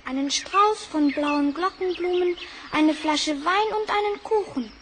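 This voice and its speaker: An actress playing a cheerful, innocent little girl in an old record radio play, eager and bright. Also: very high-pitched, a little slow, slight background noise. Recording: vinyl record with music.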